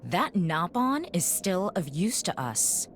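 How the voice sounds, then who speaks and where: A woman's voice: lowish voice, big pitch swings, slow, slight background noise. A woman playing a cold, contemptuous, menacing villainess in a game cutscene, with a bored, disdainful drawl. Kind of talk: video game cutscene acting. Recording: music behind the voice.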